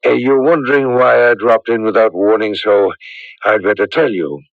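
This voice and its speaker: An actor playing a grave, hesitant village priest in a radio drama, solemn and suspicious. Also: male voice, lowish voice, fairly even intonation, no room sound, close to an everyday voice. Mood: hesitant, polite. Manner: halting, solemn, clears throat. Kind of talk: 1980s radio drama acting. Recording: dull lo-fi radio broadcast recording.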